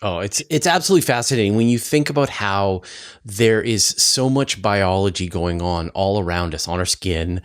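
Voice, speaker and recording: A man's voice: on the low side, moderate pace, clear, resonant tone. A man hosting a conversational science interview, curious and informal as he thinks aloud through his questions. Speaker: interviewer, science show host.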